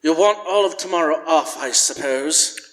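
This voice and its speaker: A man's voice: a little high, moderate pace, close to an everyday voice. A man playing a cold, curmudgeonly old miser in a live radio play, curt and scornful. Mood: grudging. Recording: live in echoey church hall.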